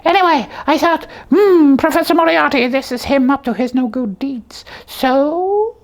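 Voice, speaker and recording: A woman's voice: sits high, lively intonation, unhurried. A woman voicing a hungry young talking slipper who plays detective, telling the tale with pompous earnestness in a comic puppet show. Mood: boastful.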